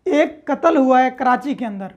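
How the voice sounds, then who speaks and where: High-pitched Pakistani woman lecturing. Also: animated, darkish tone, muffled recording. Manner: reads clauses slowly in English, then explains them step by step. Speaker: law lecturer. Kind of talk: explanatory lecture mixing Urdu with English legal terms.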